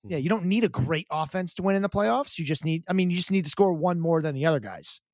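Chatty, higher-voiced podcast co-host. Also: male voice, quick pace, no room sound, clean studio sound. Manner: chatty, animated, repeats words and restarts often. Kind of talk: casual sports chat.

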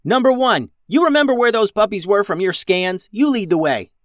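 A man's voice: on the high side, lively intonation, takes it slowly, a bit bright, no echo, studio quality, pitched above the performer's own voice, full character voice. A man voicing a bold, hot-headed female starship captain with a commanding, urgent manner in a children's adventure story.

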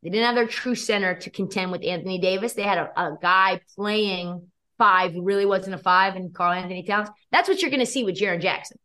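A young woman guest with a hoarse, worn voice, talking sports and betting in a quick, energetic, opinionated way. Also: mid-range voice. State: losing her voice. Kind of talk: casual sports chat.

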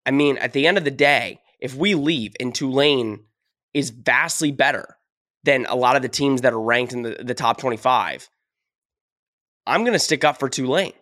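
A man's voice: medium pitch, moderate pace, fairly bright tone, no echo, studio quality. A man hosting a casual sports podcast, ranting with emphasis, a little sick and losing his voice. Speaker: podcast host.

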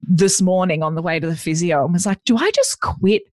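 A woman with a deep voice, chatting as a podcast guest and telling self-deprecating stories. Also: expressive, fairly quick, darkish tone, no echo, clean studio sound. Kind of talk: casual chat.

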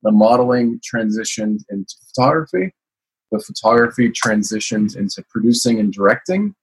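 A man's voice: on the low side, expressive, normal speed, a little hiss or hum, rough recording. A man from the United States, a guest telling his life story in a casual interview, rambling with many fillers. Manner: rambling, frequent "you know" fillers.

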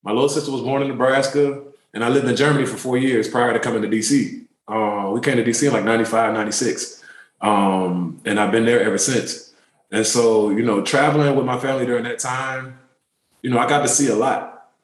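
A man in his thirties, a pro basketball player, telling relaxed, rambling stories in a casual interview. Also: mid-range voice, fairly quick, roomy sound. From born in Turkey, raised in the DC area. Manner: relaxed, rambling, many fillers.

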